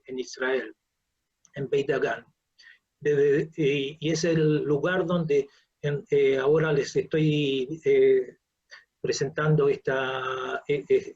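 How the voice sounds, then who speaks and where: An older man giving an online scientific lecture, halting and often repeating his words over a video call. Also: on the high side, darkish tone, breathy edge, lo-fi sound. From Uruguay. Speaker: professor and researcher, lecturer.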